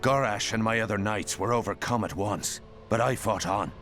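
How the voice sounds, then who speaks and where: Male actor as a cursed, grieving knight narrating a game journal, low-pitched and solemn over prominent music. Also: moderate pace, a bit bright, close to an everyday voice. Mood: grave, anguished. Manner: solemn, formal, sorrowful. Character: anguished doomed knight recounting his mad king's curse.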